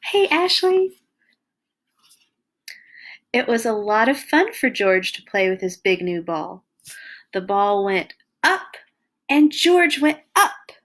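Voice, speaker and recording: Lively woman reading a children's story. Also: on the high side, takes it slowly, pauses often. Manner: animated, exaggerated emphasis for young children. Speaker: children's storyteller and host of a read-along. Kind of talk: expressive read-aloud of a children's picture book.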